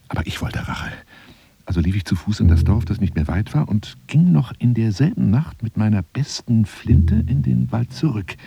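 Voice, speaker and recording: An actor playing a self-dramatizing, obsessive big-game hunter monologuing on the telephone in a radio play, theatrical and often dropping into breathy whispers. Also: male voice, fairly low pitch, no room sound, heavily acted. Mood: hushed, suspenseful boasting. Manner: theatrical, swinging from hushed murmurs to agitated outbursts.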